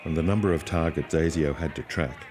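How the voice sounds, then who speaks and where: A man's voice: rumbling low, a little fast, over music. A man reading scripted documentary narration.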